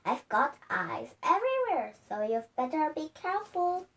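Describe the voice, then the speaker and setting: A young girl voicing a spiteful, suspicious old wife from a storybook, slow and threatening. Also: sits high, sing-song, a bit bright, full character voice. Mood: gloating. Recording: distant microphone.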